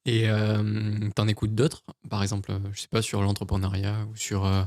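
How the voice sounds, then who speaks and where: A man's voice: lowish voice, completely flat delivery, moderate pace, a little mellow, dry recording. Young man hosting a podcast, dry sound. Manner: friendly, stammering, many fillers. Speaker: podcast host. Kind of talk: casual interview chat.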